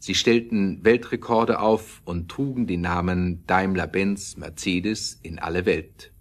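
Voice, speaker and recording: Male narrator, deep voice, measured storytelling with dramatic emphasis for a scripted audio play. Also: steady tone.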